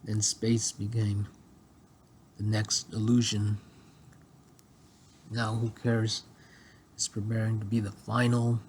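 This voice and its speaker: A man reading scripted dramatic dialogue in a deliberate delivery, phrase by phrase. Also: lowish voice, completely flat delivery, darkish tone, a little hiss or hum. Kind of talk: scripted dialogue read-through.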